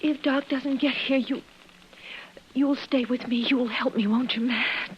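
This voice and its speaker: A woman's voice: high-pitched, fairly even intonation, measured pace. An actress playing a frightened young frontier woman in labor in a 1950s radio western, breathless, tearful and pleading. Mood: anxious, pleading. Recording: muffled vintage radio recording, faint hiss.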